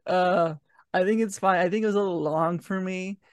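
A man's voice: high-pitched, fairly even intonation, normal speed, clear, resonant tone, dry recording, clean studio sound. A man co-hosting a podcast, talking in an easygoing, slightly hesitant way in a casual review chat. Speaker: podcast co-host.

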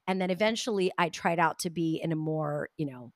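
A middle-aged woman telling stories expressively as an interview guest, with lively asides. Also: low voice, brisk, no echo, studio quality. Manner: expressive storyteller, animated asides. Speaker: guest, communication coach. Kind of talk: casual interview chat.

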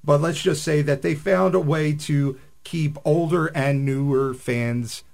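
A man's voice: a little high, fairly even intonation, a little slow, vocal fry, darkish tone. A man giving casual commentary as a panelist on a radio discussion. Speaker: science fiction podcast panelist.

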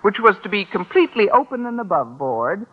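A woman's voice: mid-range voice, sing-song, brisk, narrow, tinny audio. An American actress playing a wry, middle-aged woman schoolteacher with dry, sardonic wisecracks in a 1950s radio sitcom. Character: wry, wisecracking single woman high school English teacher. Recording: hissy old radio broadcast.

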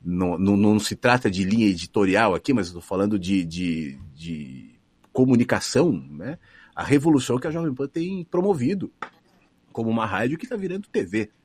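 Husky low-voiced man with São Paulo accent. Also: expressive, a little fast, darkish tone, dry recording. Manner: thoughtful, restarts phrases, rises and falls expressively. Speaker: radio broadcaster and voice announcer. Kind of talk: reflective interview answers.

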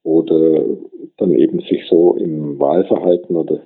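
A man with a low voice reflects in an interview over a thin, phone-like connection. He speaks haltingly, doubling back on phrases while he looks for the right words. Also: steady tone. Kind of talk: spontaneous reflective interview talk.

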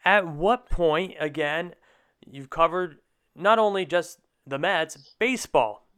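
Young man, podcast host, slightly high pitch, crisp clean tone, conversational interviewing, rambling questions. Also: slow pace, fairly bright tone. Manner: long, meandering questions.

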